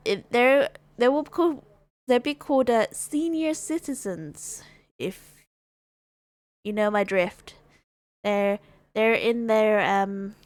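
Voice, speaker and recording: A woman's voice: mid-range voice, no room sound. A woman livestreamer chatting casually in a hesitant, rambling way, often repeating and rephrasing herself. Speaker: livestreamer and gamer.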